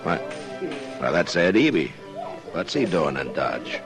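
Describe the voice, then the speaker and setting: An American man acting a weary, steady middle-aged frontier marshal in an old-time radio western, speaking tersely. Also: low-pitched, a little slow, gravelly, full character voice. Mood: wary, dry. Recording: old radio drama with music behind.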